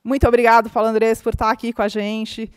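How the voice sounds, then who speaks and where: A woman's voice: on the high side, little pitch movement, smooth, no room sound, studio quality. A woman hosting a conference, welcoming guests in two languages and introducing the speaker with lavish praise. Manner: warm, effusive, quick praise of the guest. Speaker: event host introducing speakers. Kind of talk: stage introduction. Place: conference hall.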